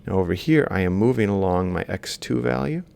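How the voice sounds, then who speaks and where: A man's voice: low-pitched, lively intonation, a little fast, gravelly, a little mellow. A man lecturing informally, thinking aloud as he explains step by step while drawing. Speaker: robotics lecturer.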